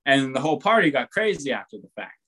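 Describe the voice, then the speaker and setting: A young man chatting casually, rambling and laid-back, who swears freely and talks loud. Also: mid-range voice, very animated, fast talker, slight background noise, poor audio. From born on the West Coast, raised on the East Coast of the US. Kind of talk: casual chat.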